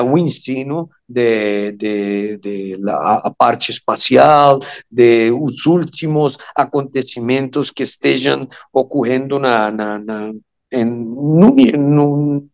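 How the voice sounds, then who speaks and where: A Venezuelan man with a Spanish accent giving interview answers, haltingly searching for words in his second language. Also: mid-range voice, creaky. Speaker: interview guest, amateur radio operator.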